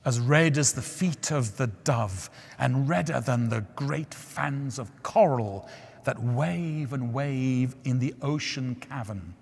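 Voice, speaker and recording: A man voicing a weary red rose tree, speaking plainly, slowly and solemnly. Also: mid-range voice, vocal fry, husky, full character voice. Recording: long church hall reverb.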